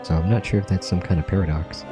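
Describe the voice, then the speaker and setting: A man's voice: sits low, steady tone, fast, over music. A man hosting a gaming channel, casually narrating and commenting as he plays through a game.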